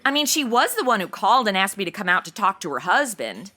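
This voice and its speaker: A woman's voice: medium pitch, very animated, quick pace, bright, forceful tone. A woman playing a bubbly, ditzy young insurance seller in a comedy audio drama, with flippant, slangy Valley-girl chatter. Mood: flippant, gossipy.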